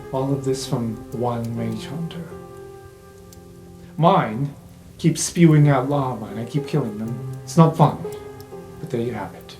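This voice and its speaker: A man voicing a knowing, wry informant in an improvised fantasy roleplay podcast, explaining in measured phrases with theatrical pauses. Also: medium pitch, dark tone, natural voice. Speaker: game master. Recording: roomy sound with guitar music underneath.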